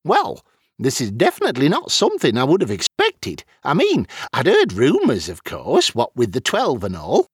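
A male narrator puts on a cheeky, ale-loving wizard for a fantasy storybook. The voice is husky and leaps wildly up and down in pitch, with a flippant, wry edge. It is recorded close and dry. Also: wildly swooping pitch, moderate pace, no echo, heavily acted. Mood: surprised, flippant.

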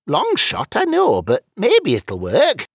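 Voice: mid-range voice, wildly swooping pitch, slow pace, gravelly, husky, no echo, studio quality, voice pushed up, exaggerated voice. A narrator voicing an elderly male wizard, sheepish and quibbling, with folksy dialect phrasing, in a fantasy audiobook. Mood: earnest.

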